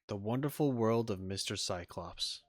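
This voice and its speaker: A man's voice: fairly low pitch, normal speed, no echo, soft background music. A man streaming a video game, reacting casually on a close mic. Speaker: gaming streamer.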